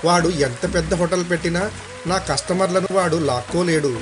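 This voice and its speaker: A man's voice: high voice, brisk, music in the background, lightly acted. A man voicing an earnest, hardworking young village snack seller in a moral story. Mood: confident. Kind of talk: acted dialogue in a moral story.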